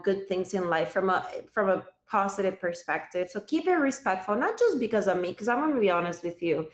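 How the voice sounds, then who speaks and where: A woman in her thirties from Cuba, a native Spanish speaker, hosting a live stream and chatting directly with her viewers. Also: mid-range voice. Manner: chatty, addresses viewers directly, thanks gifters. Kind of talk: casual live stream chat. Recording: roomy, slightly dull sound.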